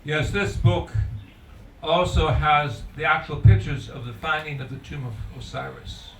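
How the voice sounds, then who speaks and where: An older man lectures in formal, measured phrases. His voice is a little high for a man and carries through a very echoey room from some distance, with faint noise behind it. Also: steady tone, vocal fry, fairly bright tone. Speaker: guest conference speaker, scholar. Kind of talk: public lecture.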